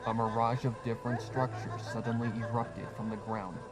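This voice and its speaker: A man's voice: medium pitch, flat delivery, fairly quick, over a noisy crowd. A man narrating a scripted horror story in a dramatic reading style. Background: music.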